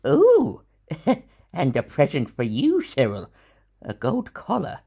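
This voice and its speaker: A woman's voice: low-pitched, huge pitch swings, slow, creaky, mellow, breathy edge, exaggerated voice. An actor playing an eccentric, reclusive male tycoon in an audio drama, fussy and given to musing fondly as if to a pet. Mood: delighted. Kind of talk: audio drama character acting.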